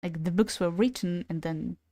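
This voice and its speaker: A woman's voice: lowish voice, normal speed, mellow, no room sound. Young ukrainian-native woman chatting on stream, casual, swears a bit, dry close sound. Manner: chatty, swears casually, laughs between thoughts. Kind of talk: casual gaming stream chat.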